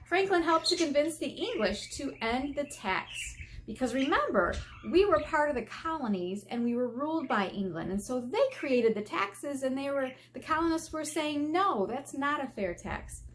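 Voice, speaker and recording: A woman's voice: fairly high pitch, slight background noise. An American woman, an elementary school teacher, reading aloud to children and adding simple explanations as she goes.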